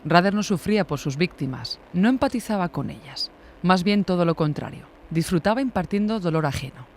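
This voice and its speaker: A man's voice: sits high, lively intonation, a little fast, a little hiss or hum. A man reading serious scripted true-crime narration.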